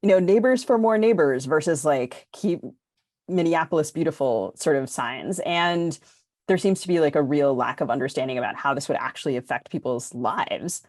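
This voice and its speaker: A woman's voice: sits low, moderate pace, a little mellow, no room sound, studio quality. An American woman co-hosting a podcast, talking informally and discursively as she thinks aloud. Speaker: podcast co-host. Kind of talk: casual panel discussion.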